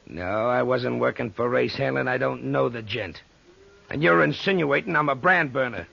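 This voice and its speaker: An actor playing a surly, threatening crooked ranch straw boss in an old-time radio western. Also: male voice, medium pitch, moderate pace, creaky, fairly bright tone. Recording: muffled old radio recording.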